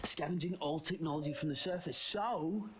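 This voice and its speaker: A man playing a quirky, quick-witted time traveller in an audio drama, flippant yet assertive. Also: on the high side, slight background noise, close to an everyday voice. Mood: pondering.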